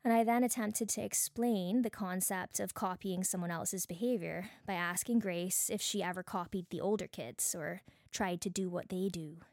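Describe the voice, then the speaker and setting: A woman with a Bajan accent giving an earnest, deliberate devotional teaching talk as a host, mixing prepared points with personal reflection. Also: on the low side, darkish tone, no echo, clean studio sound. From Barbados.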